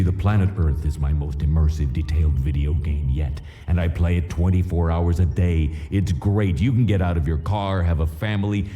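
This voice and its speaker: An actor playing a laid-back God who talks about games in a casual, offhand, matter-of-fact way, in a cartoon game cutscene. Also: male voice, rumbling low, mellow, echoey room. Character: laid-back almighty male God, a gamer at heart.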